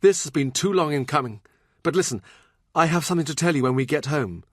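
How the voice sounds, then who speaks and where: A man's voice: fairly high pitch, lively intonation, fast talker, no echo, clean studio sound, voice pushed up. A man voicing an eager, loyal young man confiding in his master, earnest and direct, in audiobook dialogue. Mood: excited.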